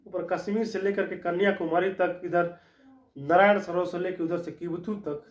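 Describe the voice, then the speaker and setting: A high-pitched man lectures on law, going over each point twice and checking in with his listeners as he goes. The recording is dull and lo-fi, and his voice rings in an echoey room. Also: fairly even intonation, moderate pace. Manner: explanatory, repeats key points, frequent "ठीक" check-ins. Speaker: law teacher. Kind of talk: classroom-style lecture.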